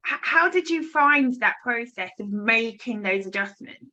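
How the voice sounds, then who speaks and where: Woman, panel moderator, bright sharp voice, inquiring, muffled lo-fi audio. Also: on the high side, lively intonation, measured pace. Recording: dull lo-fi audio.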